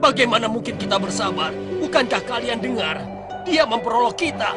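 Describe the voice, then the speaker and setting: A man's voice: very high voice, lively intonation, brisk. A man voicing a hot-headed, blustering young man in a cartoon who wants to kill the prophet. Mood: furious. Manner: angry, blustering. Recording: loud music underneath.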